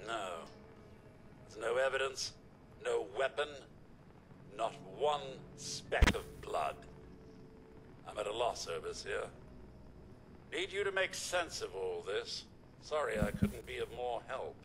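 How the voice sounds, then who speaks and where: Robot lawman, stern and weary, slow formal delivery, lively pitch, rumbling game audio. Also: slight background noise, poor audio. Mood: grave, puzzled. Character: male robot security chief, weary and stern. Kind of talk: video game character acting.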